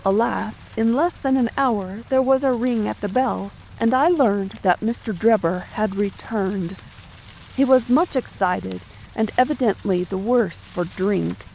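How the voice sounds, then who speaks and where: A woman's voice: mid-range voice, a little slow, creaky, darkish tone, a little hiss or hum, rough recording. A woman playing a distressed, fearful middle-aged widow and protective mother in formal, old-fashioned phrasing for a dramatic audiobook. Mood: anxious, sorrowful. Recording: faint rain ambience behind.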